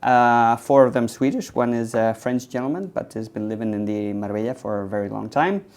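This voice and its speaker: Male CEO guest raised in Spain, casual rambling interview answers, average pitch. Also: fairly quick. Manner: relaxed storytelling with frequent ums and uhs. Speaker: CEO of a tech hub. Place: indoors in an office building.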